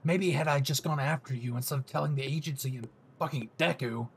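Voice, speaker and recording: A man's voice: medium pitch, fairly quick, slight background noise, close to an everyday voice. A man playing a brash, possessive young hero, cocky, swearing and intense, in a dramatic audio roleplay spoken to the listener. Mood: bitter, intense. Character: brash, possessive young male hero, gruff and foul-mouthed.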